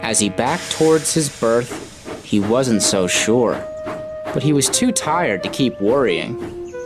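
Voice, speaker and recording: A man's voice: mid-range voice, lively intonation, slow, music in the background. A man reading scripted storybook narration as the narrator of a children's tale.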